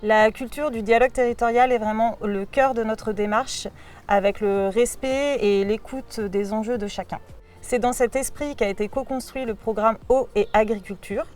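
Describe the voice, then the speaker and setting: A woman giving a prepared, measured testimonial as a programme coordinator. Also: a little high, fairly even intonation, darkish tone, recorded from a distance, faint music behind. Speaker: local water and agriculture programme coordinator.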